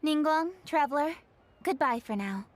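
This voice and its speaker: A woman's voice: high-pitched, expressive, moderate pace, slight background noise. A woman playing a diligent, firm young female official in a video game, giving polite, clipped, businesslike orders. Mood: brisk, businesslike. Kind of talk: video game character acting.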